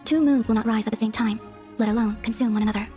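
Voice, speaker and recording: A serene young moon goddess acted by a woman in a video game. The voice is fairly high and soft, with formal, detached phrasing that flows rather briskly. Faint music plays underneath. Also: fairly even intonation, close to an everyday voice. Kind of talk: video game cutscene acting.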